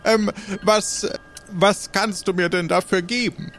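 A man's voice: high voice, fairly even intonation, takes it slowly, a bit bright. A man playing a quirky, self-important old pirate instructor in an improvised audio adventure, speaking in a hesitant, rambling, mock-dignified way. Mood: evasive, haggling.

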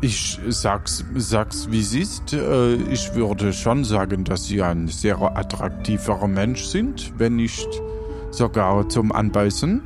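A man playing a hospitable but easily offended man-eater in an improvised audio drama, speaking formally and haltingly. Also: lowish voice, lively intonation, music in the background. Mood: flattering, smooth. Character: touchy, hospitable male man-eater serving dubious food. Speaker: game master.

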